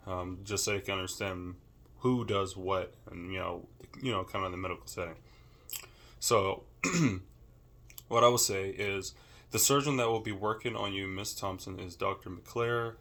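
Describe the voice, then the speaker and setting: A young man with a deep voice records a class assignment, talking casually and thinking aloud with many fillers. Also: bright, forceful tone. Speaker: student. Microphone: camera held or set nearby.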